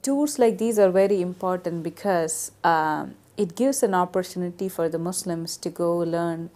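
A woman's voice: fairly low pitch, normal speed, mellow. A woman from the United States giving a thoughtful, hesitant testimonial about a tour. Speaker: tour participant. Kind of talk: testimonial interview.

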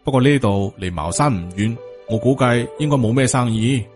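Man voicing a stoic, low-toned Taoist master. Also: faint music behind, natural voice. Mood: resigned. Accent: Hong Kong Cantonese. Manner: flat, unhurried, lecturing. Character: stoic, world-weary male Taoist master.

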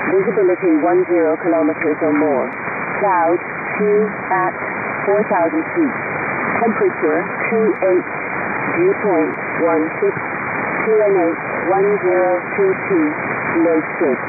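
Lady automated aviation weather, clipped words, jumpy pitch, super staticky shortwave. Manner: clipped word-by-word readout of digits. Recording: hissy, tinny shortwave radio, heavy static.

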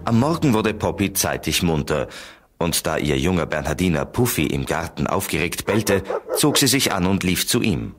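An actor as the storyteller of a German children's detective radio play. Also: male voice, sits low, big pitch swings, normal speed, music in the background. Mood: suspenseful. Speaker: narrator. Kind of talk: scripted audio drama narration.

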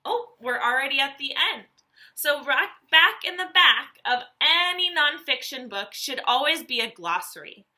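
A woman, reading a nonfiction book aloud to young children as a teacher, animated and carefully enunciated. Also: sits high, measured pace. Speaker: elementary school teacher.

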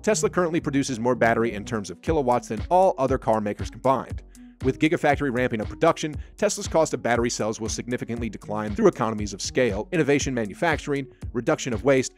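A man reading a scripted narration, in a flat, even delivery of a dense factual text. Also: mid-range voice, fast talker. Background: faint background music.

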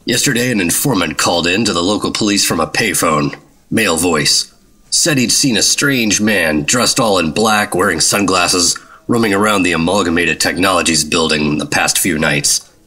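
An actor playing a terse, deadpan 1950s government secret agent in a noir radio drama. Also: male voice, low voice, normal speed, husky, full character voice. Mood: cool, serious.

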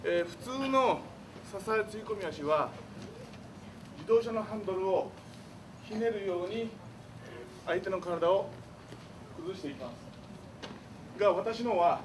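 A man's voice: high-pitched, normal speed, pauses often. A man teaching a judo technique in short explanatory statements, recorded by a far camera in a large indoor dojo. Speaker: judo instructor. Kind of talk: technique demonstration. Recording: distant voice in noisy room.

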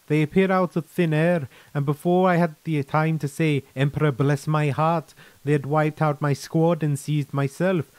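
Man putting on a frank, higher-pitched veteran soldier. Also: steady tone, normal speed, few pauses, a little mellow, no room sound, lightly acted. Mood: reminiscing. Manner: colloquial, mildly profane, persuasive. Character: chatty, frank, easygoing male veteran soldier.

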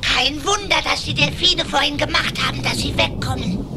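A woman's voice: high voice, moderate pace, close to an everyday voice. A woman playing a cheeky, curious young duckling nephew in a German cartoon audio play. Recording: music behind the voice.

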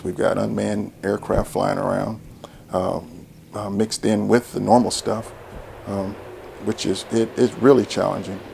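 A man's voice: fairly low pitch, creaky, darkish tone. A middle-aged American man, an air traffic controller, talking in an interview on a lapel mic in an office. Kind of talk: interview talk. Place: small indoor office. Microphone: clip-on lapel mic.

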